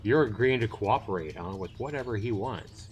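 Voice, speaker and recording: A man's voice: on the low side, lively intonation, moderate pace. A man hosting a livestream, chatting informally about a game and reading its text aloud. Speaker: livestream host. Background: game music.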